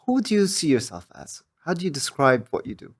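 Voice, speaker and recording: A man's voice: a little high, huge pitch swings, fairly quick, vocal fry, breathy edge. A man hosting a podcast, introducing and questioning his guest in a conversational interview. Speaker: podcast host. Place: home studio at a dining table.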